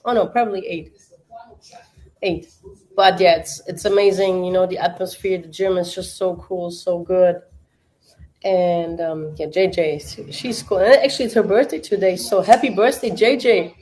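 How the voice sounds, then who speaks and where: A woman's voice: lowish voice, steady tone, moderate pace, darkish tone. Chatty German-accented woman streaming. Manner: chatty, many fillers, answers chat. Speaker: livestream watch-party host. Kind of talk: casual livestream chat.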